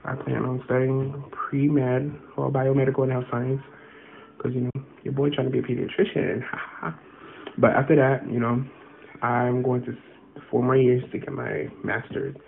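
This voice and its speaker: A man's voice: medium pitch, little pitch movement, moderate pace, gravelly. A young man, a graduating senior, talking in a laid-back, easygoing way in a casual video message recorded on a phone held close. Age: young adult. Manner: laid-back, easygoing, laughs between thoughts. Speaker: graduating high school senior. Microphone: phone camera held close. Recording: phone-like, faint background noise.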